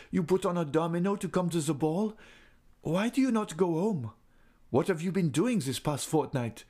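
A man's voice: on the high side, a little mellow, voice pushed up. A man voicing a young man in love, agitated and imploring in a raised voice. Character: young man, wounded, sarcastic then desperate.

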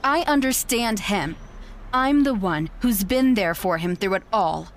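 Smug, condescending young fiancée, manipulative and clipped, mid-range voice, naturalistic audio drama. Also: female voice, medium pitch, animated, measured pace. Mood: condescending, persuasive. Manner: haughty, dismissive, clipped.

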